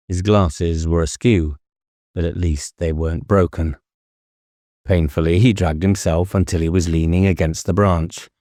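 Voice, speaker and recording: Low-voiced man narrating a novel. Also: darkish tone, no room sound, clean studio sound. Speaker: audiobook narrator.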